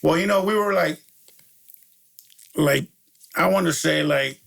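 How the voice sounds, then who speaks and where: A man's voice: a little high, a little slow, vocal fry, fairly bright tone, husky. A middle-aged man from El Salvador, a DJ guest chatting casually in laid-back street slang, laughing often and saying "you know" a lot. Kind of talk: casual interview chat.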